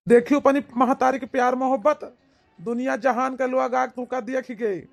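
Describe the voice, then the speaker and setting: A man's voice: very high-pitched, steady tone, normal speed, creaky, soft background music. A man playing an anxious, pleading village husband in an amateur cartoon, speaking with an Awadhi accent in a plaintive way. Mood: consoling. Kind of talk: amateur comedy cartoon dialogue in rural dialect.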